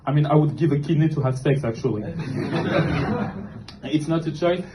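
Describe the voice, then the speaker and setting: Deadpan young man. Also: fairly high pitch. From Morocco. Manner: deadpan, self-deprecating, halting with fillers. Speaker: stand-up comedian. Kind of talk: stand-up set. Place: comedy club with live audience. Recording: noisy club recording. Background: audience laughter.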